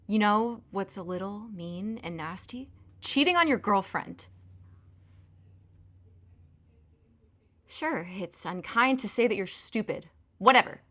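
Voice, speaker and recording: An actress plays a young woman betrayed by her boyfriend in an audio drama. Her voice is bright and forceful, with a biting, sarcastic edge. She swears freely, sounding both hurt and furious. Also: medium pitch, animated, normal speed, few pauses, close to an everyday voice. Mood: furious, scornful.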